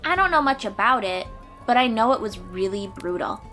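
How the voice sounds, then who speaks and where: A woman's voice: a little high, animated, normal speed, sharp tone, faint music behind. A woman voicing a confident, chatty thirteen-year-old sea-dweller girl warrior in a fantasy audio roleplay, perky and informal. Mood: serious, explaining.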